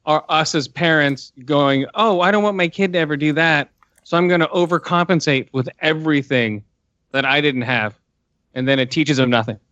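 A man's voice: on the high side, normal speed, pushed and bright, dry recording, muffled recording. A man in his forties chatting over a video call, wry and opinionated. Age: 40s. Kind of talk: casual chat.

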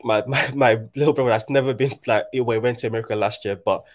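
A man in his twenties from Nigeria, talking in a reflective, halting way with many restarts in a casual conversation. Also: medium pitch, fairly even intonation. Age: 20s.

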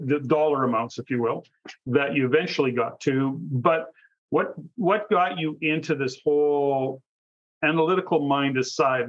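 Male podcast interviewer, dull lo-fi sound. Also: medium pitch. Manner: long, rambling, discursive questions. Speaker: podcast host interviewing a guest. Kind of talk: conversational interview. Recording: dull, lo-fi audio.

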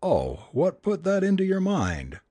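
A man's voice: high-pitched, huge pitch swings, a little slow, dark tone, no echo, voice pushed up, heavily acted. Man voicing a pleading, worn-out, terrified woman. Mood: desperate pleading. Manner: pleading, anguished, melodramatic. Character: worn-out, terrified, submissive woman, a medium's assistant. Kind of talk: dramatic audiobook character reading.

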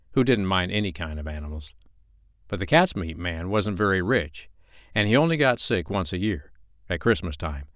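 A man's voice: fairly low pitch, a bit bright, no room sound, clean studio sound. A man reading a children's story aloud as a bedtime storyteller, speaking directly to young listeners with emphatic, sing-song phrasing. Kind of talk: expressive children's story narration.

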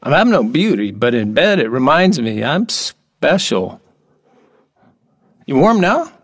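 A man acting in an audio drama as an odd, playful, lonely middle-aged workman, whimsical and halting, with earnest oddball candor. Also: fairly high pitch, sing-song, a bit bright, exaggerated voice. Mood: quirky, candid.

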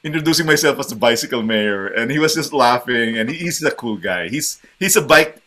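A man's voice: medium pitch, animated, fairly quick, bright, forceful tone. A man in his forties from the Philippines, a guest chatting casually in an interview, hesitant with many fillers and restarts.

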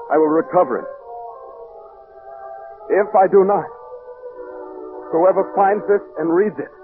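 A man acting an anguished, sensitive lovelorn diarist in an old-time radio drama, with earnest, dramatic delivery. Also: sits high. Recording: thin, phone-like vintage radio recording, music behind.

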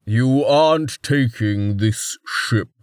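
A man voicing a huge armored golem warrior who talks bluntly and flatly in slow, clipped lines. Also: mid-range voice, no echo.

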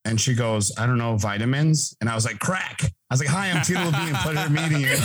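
A man's voice: fairly high pitch, heavy background noise. A man in his thirties hosting a podcast, joking and rambling with lots of fillers. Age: 30s. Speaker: podcast host. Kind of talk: casual chat.

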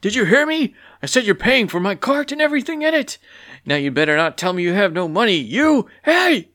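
A man voicing an angry male bird farmer who yells and scolds. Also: sits high, sing-song, fairly quick, no room sound, clean studio sound, voice pushed up, heavily acted. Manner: yelling, scolding.

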